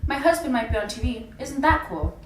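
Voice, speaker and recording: A woman's voice: high voice, animated, a little fast. Woman as echoey, nonchalant society wife. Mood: breezy. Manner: breezy, nonchalant. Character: carefree, shallow married socialite friend, blithely unworried. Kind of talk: student literary dramatization. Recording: echoey, distant mic, faint music.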